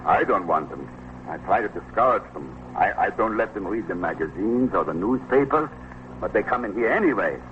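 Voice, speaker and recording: A man's voice: fairly low pitch, animated, moderate pace, lightly acted. Male shopkeeper played by a character actor, vintage radio drama: ingratiating, hesitant, pleading and repetitive, dull recording with faint hiss. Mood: exasperated, pleading. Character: anxious, ingratiating male soda shop keeper.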